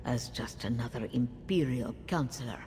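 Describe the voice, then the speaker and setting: A woman playing a scheming, loyal female cultist in a video game, deliberate and conspiratorial. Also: rumbling low, a little mellow. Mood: cool, scheming. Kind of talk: video game character dialogue. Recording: long reverb, music behind.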